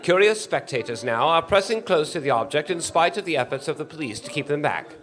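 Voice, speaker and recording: A man's voice: fairly high pitch, animated, a little fast, a bit bright. An actor playing an earnest, eager male radio news commentator in a 1930s radio drama, with a formal, polite announcer delivery. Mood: excited, awed. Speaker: stage actor in a radio play.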